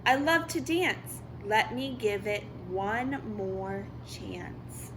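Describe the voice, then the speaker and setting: A woman's voice: mid-range voice, lively intonation, extremely slow, noisy background. A woman voicing an eager, hopeful boy cat from a picture book, with a bouncy, earnest manner. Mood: determined, hopeful. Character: eager, hopeful young male cartoon cat who loves dancing.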